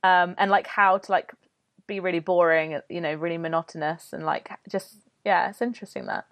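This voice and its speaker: A woman's voice: lowish voice, steady tone, a little fast, dry recording. A woman hosting a podcast interview, chatty and encouraging toward her guest. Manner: friendly, encouraging listener, chatty. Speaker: podcast host. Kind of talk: casual interview chat.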